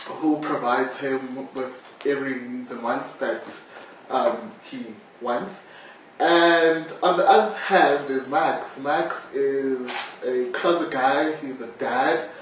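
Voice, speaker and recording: A man gives descriptive answers in an interview at a medium pitch. He is heard from some distance in a very echoey space with faint noise. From South Africa. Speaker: amateur audio drama actor.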